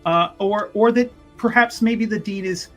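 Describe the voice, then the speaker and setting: Male tabletop player, higher voice, improvised repetitive narration over faint music. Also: unhurried. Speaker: player in a tabletop roleplaying game. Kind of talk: animated improvised narration of his character.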